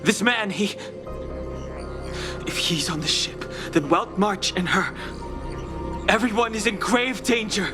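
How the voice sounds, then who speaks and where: A man playing a troubled, guarded young man in a video game, speaking earnestly and haltingly. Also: sits high, expressive. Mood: anxious, burdened. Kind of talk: dramatic video game voice acting. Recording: music playing behind the voice.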